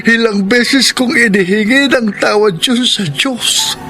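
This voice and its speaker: An actor playing an elderly, remorseful Filipino grandfather in a radio drama, speaking in a halting, pleading, emotional way. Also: very high-pitched. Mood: anguished, tearful. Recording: dramatic music under the voice.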